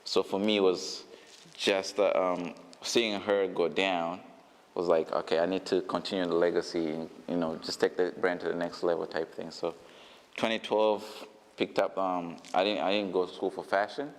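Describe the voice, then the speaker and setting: A man's voice: low-pitched, flat delivery, moderate pace, big-room sound. A man from Uganda, a brand founder, answering interview questions casually with many fillers and restarts.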